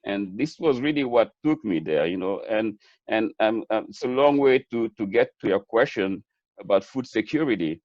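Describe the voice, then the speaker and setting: A man's voice: lowish voice, animated, normal speed, darkish tone, no room sound, highs cut off. A middle-aged man with a Senegalese accent gives an earnest, reflective interview answer, repeating words as he builds his points. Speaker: webinar guest, chef and food entrepreneur.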